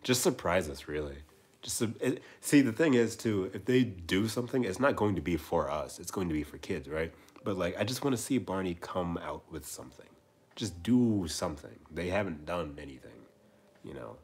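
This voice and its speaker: A man in his twenties hosting a casual livestream chat, rambling and repeating himself with soft trailing asides. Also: sits low, expressive, moderate pace, breathy edge. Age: 20s. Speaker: livestream host.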